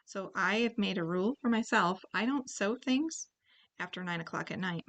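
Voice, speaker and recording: A woman leading a relaxed paint-along tutorial, chatting and thinking aloud as she paints, and now and then clearing her throat. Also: mid-range voice, moderate pace. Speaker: painting instructor.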